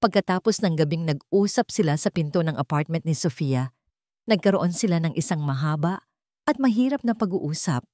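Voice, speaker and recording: A woman's voice: sits low, expressive, normal speed, dark tone, no echo, studio quality. A woman narrator reading a scripted, dramatic story.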